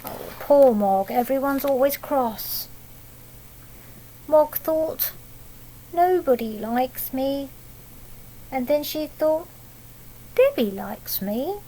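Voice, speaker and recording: A woman's voice: sits high, animated, takes it slowly, pauses often, dark tone, a little hiss or hum, low-quality recording. A British woman reading a picture book aloud like a primary teacher, with simple child-directed asides and little tag questions. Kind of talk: children's storytelling with explanatory asides.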